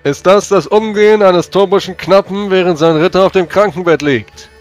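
A man's voice: sits high, normal speed, faint music behind, voice pushed up, natural voice. Man playing a proud, pompous holy knight. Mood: indignant. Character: proud, pious male knight of a holy order.

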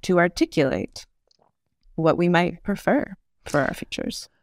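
A woman in a thoughtful interview conversation, with a noticeably creaky, gravelly edge to her voice. She explains carefully and stresses points by repeating them. The sound is dry, with very little room in it. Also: on the low side, very animated, a little slow, dry recording. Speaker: podcast guest, futurist author.

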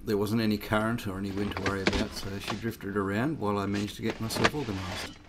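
A man narrating his solo sailing trip from a small wooden boat outdoors, talking in an unhurried, reflective way with wry asides. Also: lowish voice, steady tone, very noisy. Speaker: sailing vlogger. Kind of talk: casual first-person narration while sailing.

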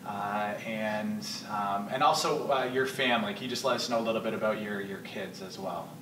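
A man's voice: on the low side, moderate pace. A man in his thirties interviewing guests at church, friendly, laughing often and restarting his questions. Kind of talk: casual interview. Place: church lounge with sofas, indoors. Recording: roomy echo, distant mic, clear hiss.

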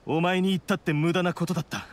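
An actor playing an embittered male oni warrior outcast in a video game, speaking with scorn and deliberate weight. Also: high-pitched, fairly even intonation, background noise, close to an everyday voice. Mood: scornful. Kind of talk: dramatic game voice acting.

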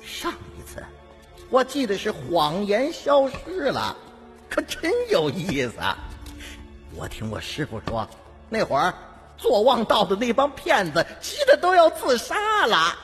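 A man's voice: sits high, huge pitch swings, measured pace, a bit bright, voice pushed up, heavily acted. A man voicing a talkative, smug, gossipy Taoist priest in an audiobook. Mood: amused, gossipy. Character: chatty, smug, sly male Taoist priest, gossiping eagerly. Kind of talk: audiobook character acting. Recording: long hall reverb, music behind.

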